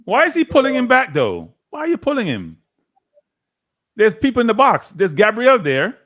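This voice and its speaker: A man's voice: high-pitched, huge pitch swings, moderate pace, fairly bright tone. A man from Jamaica with a Jamaican accent, arguing tactics on a live football watchalong and repeating his points. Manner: argumentative, repeats himself, frequent laughter.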